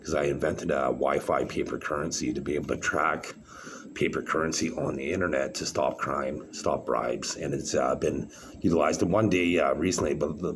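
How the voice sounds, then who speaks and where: A man with a very deep voice, talking in a halting, rambling monologue full of fillers and restarts. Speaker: vlogger. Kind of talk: rambling solo monologue to camera. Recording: clear background noise.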